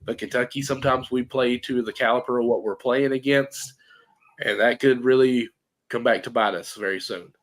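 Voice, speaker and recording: A man co-hosting a casual sports podcast, hoarse and congested, rambling and restarting his thoughts. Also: medium pitch, fairly even intonation, moderate pace. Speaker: podcast co-host.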